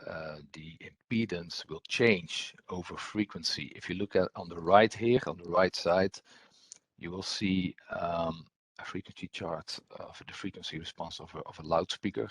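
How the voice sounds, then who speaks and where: A man presenting a technical webinar as an engineer, with a husky voice and hesitant, repetitive phrasing. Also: low voice, fairly even intonation, normal speed, no echo.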